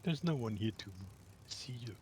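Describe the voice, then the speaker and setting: A man's voice: mid-range voice, lively intonation, moderate pace, a little mellow. Man on a noisy voice chat. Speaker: fellow pilot on voice chat. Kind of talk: casual voice chat.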